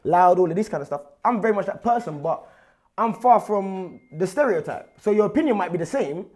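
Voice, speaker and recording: Panelist, male, London accent, fairly high voice, insistent and repetitive in argument. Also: animated, fairly quick, darkish tone. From Nigerian family, grew up in England. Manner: insistent, repeats "do you get what I'm saying". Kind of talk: heated group discussion.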